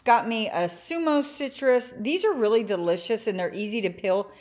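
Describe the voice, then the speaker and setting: A woman's voice: on the high side, lively intonation, moderate pace, a little mellow. A young woman casually chatting about her grocery haul at home, enthusiastic, with lots of filler words like "like" and "um.". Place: home kitchen counter.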